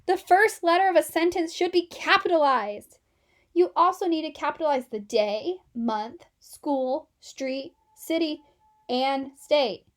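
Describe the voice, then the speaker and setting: Woman voicing emphatic male schoolteacher, patient but exasperated. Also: high-pitched. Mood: emphatic instruction. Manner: instructive, emphatic.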